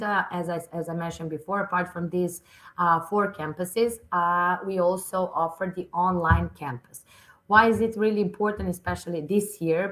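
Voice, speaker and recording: A woman in her thirties from Serbia presents a webinar over a video call from an office. Her voice sits a little low. She talks in a friendly way, with frequent fillers and restarts. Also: fairly bright tone, poor audio. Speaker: university recruitment manager. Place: office room indoors.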